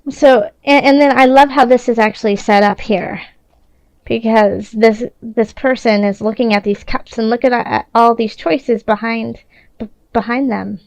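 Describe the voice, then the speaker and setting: A woman's voice: on the high side, fairly even intonation, unhurried, gravelly, darkish tone, dry recording. A woman giving a casual, improvised tarot reading in a conversational, reassuring way, often restarting her thoughts. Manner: reassuring, encouraging. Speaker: tarot reader.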